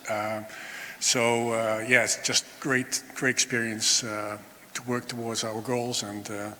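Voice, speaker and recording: A man's voice: medium pitch, level tone, measured pace, bright, forceful tone. Guy on stage answering questions, lots of uhs, mid voice, echoey hall. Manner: hesitant, many uh fillers. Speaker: startup co-founder and CTO. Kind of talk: onstage interview answers. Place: large event hall on stage. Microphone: throwable catch box mic. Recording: hall reverb with faint room noise.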